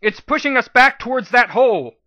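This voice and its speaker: A man voicing a brash, impulsive young mare pony fighter, excitable and blurting, in a dramatic story reading. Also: very high-pitched, brisk, dry recording, lo-fi sound, pitched above the performer's own voice, full character voice. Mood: panicked. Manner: yelling, blurting.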